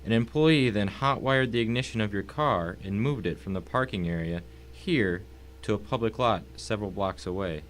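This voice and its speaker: Cultured, menacing older-world gentleman villain acted by a man, lowish voice, courteous and cold, audio story, faint hum behind. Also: normal speed, lightly acted. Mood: courteously menacing. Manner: urbane, formal, mock-courteous. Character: calm, wealthy, menacing husband, cultured villain. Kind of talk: dramatic audio reading of a short story.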